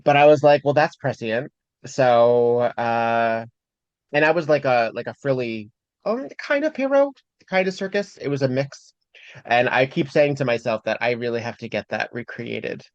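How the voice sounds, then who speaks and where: A man's voice: medium pitch, normal speed, dry recording. Chatty man in his forties, dull dry sound. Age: 40s. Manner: animated, chatty, laughs easily. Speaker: podcast host. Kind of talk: casual interview chat.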